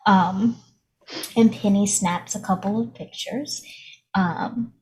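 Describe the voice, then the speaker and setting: A woman's voice: medium pitch, steady tone, takes it slowly, warm tone, low-quality recording. A woman working as game master, narrating a tabletop game in a casual, improvised style.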